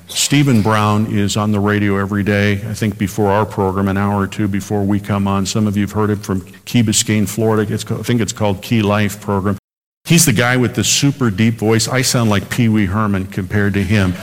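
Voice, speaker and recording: A man preaching a radio sermon, earnest, slowing down when he quotes scripture. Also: lowish voice, long reverb tail. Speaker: pastor.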